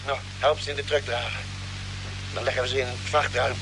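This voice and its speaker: A man playing a calm, caring spaceship doctor with a measured, deliberate way of explaining, in a vintage Dutch radio drama. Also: medium pitch, natural voice. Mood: calm, directing. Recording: noisy old radio drama recording.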